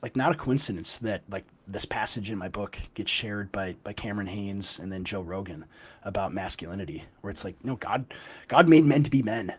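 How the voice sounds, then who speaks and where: A young man with a hoarse, gruff voice from a cold, a guest author talking emphatically in an interview and often tagging points with "right?". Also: on the low side. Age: young adult. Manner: emphatic, with frequent "right?" tags. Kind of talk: conversational interview.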